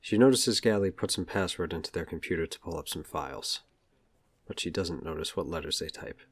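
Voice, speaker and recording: A man's voice: deep voice, fairly quick. A man reading scripted present-tense narration for an audio drama. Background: music.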